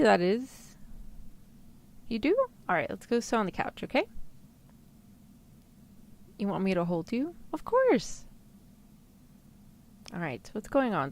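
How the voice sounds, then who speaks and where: A woman acts out a caring girlfriend in an ASMR roleplay, with lively rises and falls in her voice. She is nurturing and coaxing, with some creak at the edges. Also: a little high, slow, pauses often, exaggerated voice. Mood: gentle, coaxing. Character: tender, worried girlfriend comforting her sad partner. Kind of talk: ASMR roleplay script reading.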